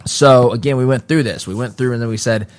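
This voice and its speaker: A man's voice: medium pitch, brisk, no echo. A man hosting a casual solo commentary, reading from an article and breaking off into conversational asides with frequent restarts. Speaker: political commentary host.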